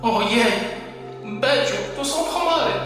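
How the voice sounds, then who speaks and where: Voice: medium pitch, animated, takes it slowly, a bit bright, heavy reverb, long reverb tail, soft background music. An actor playing a timid, fussy little furry creature, nervous and earnest, in a children's audio drama. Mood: frightened.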